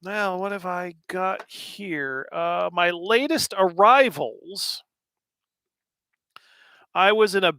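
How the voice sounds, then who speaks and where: A man's voice: high voice, animated, takes it slowly, pauses often, sharp tone, clear, resonant tone, no echo, studio quality. An American man in his thirties hosting a casual livestream, answering chat questions in a rambling, wry way with lots of fillers. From United States.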